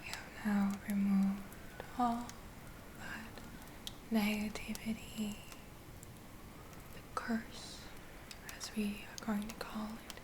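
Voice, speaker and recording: Casting: woman for ASMR witch healer roleplay, gentle whisper, even and soothing delivery. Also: mid-range voice, takes it slowly, pauses often. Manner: soothing, gentle, lingering on words. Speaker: ASMR performer playing a healer.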